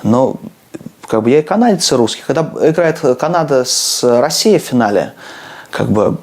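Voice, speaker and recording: A man's voice: medium pitch, very animated, normal speed, a little mellow. A young man with a mid-range voice talks casually, with some hesitation. He sounds natural and unpolished in a documentary interview.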